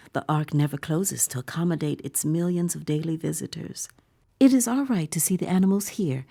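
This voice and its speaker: A woman performing audio fiction as an awed theme-park visitor in a bleak future, mixing breathy wonder with wry understatement and often slipping into a whisper. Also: deep voice, warm tone, heavily acted. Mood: giddy, wry. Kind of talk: dramatic first-person audio fiction narration.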